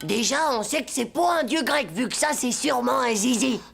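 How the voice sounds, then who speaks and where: Creaky high-pitched cheeky schoolboy. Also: female voice, expressive, brisk, faint music behind, full character voice. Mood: bossy, pondering. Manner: slangy, exclamatory, cocky. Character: cheeky, boastful young schoolboy cartoon hero. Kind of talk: French animated series acting.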